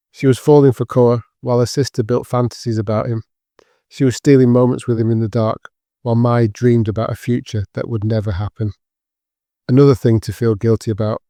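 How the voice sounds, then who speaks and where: Very even, dark-voiced male narrator. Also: medium pitch, no room sound, studio quality. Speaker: audiobook narrator. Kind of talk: scripted dramatic fiction narration.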